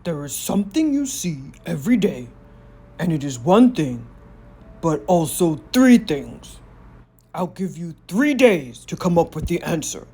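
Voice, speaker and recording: Lady doing a big smelly bully giant from a kids book, loud n gloating, slow taunting talk, swoopy. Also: very animated, husky, full character voice. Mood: commanding, challenging. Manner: booming, taunting delivery. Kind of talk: children's storybook character voice.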